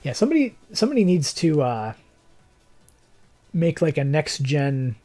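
A young man with a fairly high-pitched, mellow voice that sounds a little hoarse. He talks like a game streamer chatting to viewers, easygoing and rambling, with a technical bent. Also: very animated, normal speed, faint music behind. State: slightly hoarse. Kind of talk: casual livestream chat. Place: living room, seated in armchair indoors.

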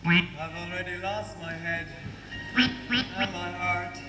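A man's voice: high voice, takes it slowly. A young man playing a lovestruck, naive young hero in an amateur stage pantomime. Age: young adult. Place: large theatre stage. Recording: echoey theatre stage tape, loud music.

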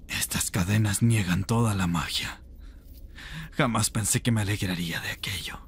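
A man acts out an imprisoned rebel mage for an ASMR roleplay. His voice is low and extremely breathy, with a gravelly rasp at the edges. He speaks intensely, seductive and tender at once. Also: moderate pace. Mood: tender, coaxing.

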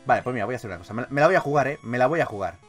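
A man with an accent from Spain giving casual, excitable gaming commentary, repeating his phrases and swearing freely. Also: medium pitch, racing. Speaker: gaming YouTuber, host. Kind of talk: casual, excitable Pokémon let's play commentary. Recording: game music behind voice. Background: video game music.

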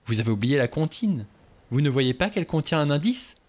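A man reading an audiobook, voicing a frightened young woman who speaks in a high, strained, urgent way. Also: big pitch swings, a little fast, a little mellow. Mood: insistent.

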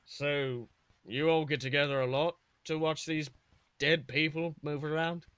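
A man's voice: fairly high pitch, steady tone, measured pace, fairly bright tone, smooth, no echo. Man putting on a bewildered pirate captain, dry close sound. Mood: puzzled. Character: bewildered, wary adult male pirate captain. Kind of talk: comedic fan fiction reading.

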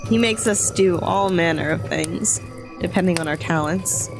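Casting: actress as anxious enslaved djinn, fearful, weary, hesitant, audio fiction, music underneath. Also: female voice, lowish voice, normal speed, vocal fry, poor audio. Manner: nervous, hesitant.

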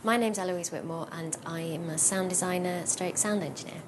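A woman's voice: steady tone, brisk. A middle-aged British woman talking informally about her job as a sound engineer in an interview. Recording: noisy with low rumble.

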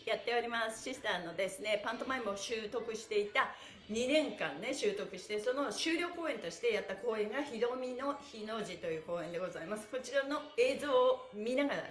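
A woman's voice: on the high side, a bit bright, echoey room. A middle-aged woman leading a live-streamed stretching class in a cheerful, exuberant, encouraging manner. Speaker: stretching instructor and host. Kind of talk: live-streamed exercise instruction with counting chants and chat. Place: small bare-walled indoor studio room.

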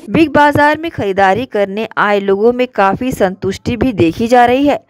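A woman's voice: a little high, normal speed, no echo. A woman reporter reading a scripted local news voice-over in a formal, promotional manner.

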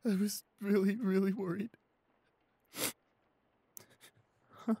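Shy young boyfriend, played by a man, audio roleplay, high-pitched, breathy and husky, anxious, apologetic, halting speech. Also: level tone, dark tone, no echo. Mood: tearful relief. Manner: halting, stammering, repeating pleas. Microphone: close studio microphone, dry.

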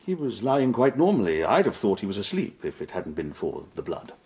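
An actor playing a formal, reserved household servant in a radio drama, polite and correct. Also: male voice, fairly low pitch, sing-song, fairly quick, dark tone, slightly rough. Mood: deferential. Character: formal, deferential male English household servant. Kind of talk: British radio drama acting.

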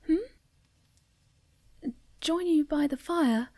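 Woman voicing a young moth girl, shy and sweet, highish voice, unhurried, near-whispered, hesitant, ASMR roleplay. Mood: wary, timid. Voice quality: soft, breathy, often near a whisper. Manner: hesitant, stammering, gentle.

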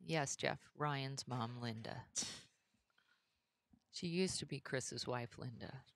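A woman calling live bowling play-by-play on a headset, steady and dryly teasing. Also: rumbling low, slow pace, dry recording. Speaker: commentator. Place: commentary booth at a bowling center.

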